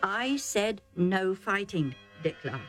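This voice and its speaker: A woman voicing a calm, firm, elderly blind male chieftain who speaks slowly. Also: medium pitch, faint music behind.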